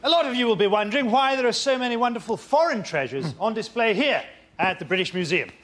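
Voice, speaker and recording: A man comedian doing quickfire improvised lines in a mock tour-guide announcer manner. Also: high-pitched, lively intonation. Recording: loud audience noise.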